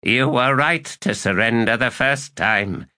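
A man voicing a weary, melancholy old male elder god, speaking in a resigned, slow and measured way. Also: mid-range voice, pushed and bright, voice pushed up. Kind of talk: audiobook character reading.

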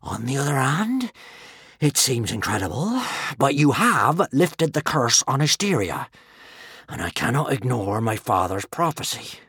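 A man voicing an unhinged, twitchy Viking chief who lurches between shrieking and low menace. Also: very animated, unhurried, fairly bright tone, breathy edge, dry recording, studio quality, full character voice. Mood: menacing.

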